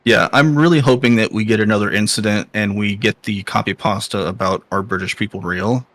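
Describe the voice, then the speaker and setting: A man's voice: on the low side, fairly even intonation, fairly quick, a bit bright. A man from the American Midwest with a Midwestern accent, a guest racer chatting casually and jokingly. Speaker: sim racing driver guest.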